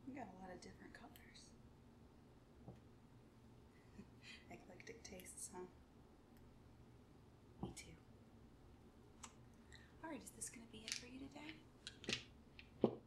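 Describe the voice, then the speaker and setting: A woman doing a soft-spoken ASMR role play as a shop clerk, hushed and gentle, often slipping into a whisper. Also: on the high side, lively intonation, takes it slowly, long pauses. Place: small room indoors at a table. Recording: roomy, distant mic, loud background noise.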